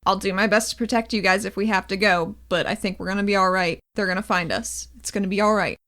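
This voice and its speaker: A woman's voice: medium pitch, fairly even intonation, fast, a bit bright, natural voice. A woman playing a protective woman fighter in a tabletop game, urgently reassuring frightened hostages. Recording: voice over background music.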